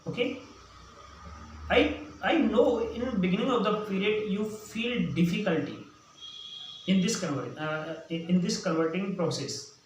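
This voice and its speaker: A man's voice: high-pitched, unhurried. A man from central India with an Indian accent, a Hindi native speaker, teaching a spoken English lesson with many fillers and restarts. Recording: echoey room, distant mic, faint noise.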